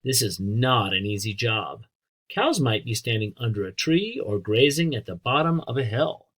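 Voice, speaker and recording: An American man with a husky voice reading aloud as a teacher to children, explaining things simply and speaking directly to young listeners. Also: mid-range voice, animated, normal speed, a bit bright. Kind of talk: read-aloud lesson with asides and questions.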